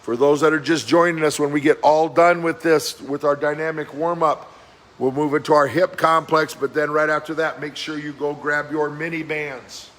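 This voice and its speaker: A man leading a live-streamed workout as fitness coach, giving brisk, encouraging exercise cues in a slightly hoarse voice that keeps cracking. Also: on the high side, little pitch movement, fairly bright tone. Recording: echoey room with faint background noise.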